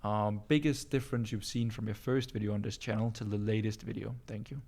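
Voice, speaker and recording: A Danish man speaks English as his second language, with a low voice that stays fairly level. He talks loosely and casually, peppering his answers with bro and um as he coaches his viewers. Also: fairly even intonation, fairly quick, gravelly, darkish tone. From Denmark. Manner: casual, calls listeners bro, many ums and uhs. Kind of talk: casual live-stream Q&A.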